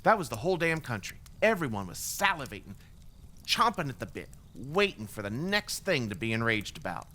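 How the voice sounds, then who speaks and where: A man's voice: medium pitch, big pitch swings, fairly quick, sharp tone. An actor playing a remorseful, bitter former extremist gunman giving testimony in an audio drama, in a blunt, wry, profane conversational way.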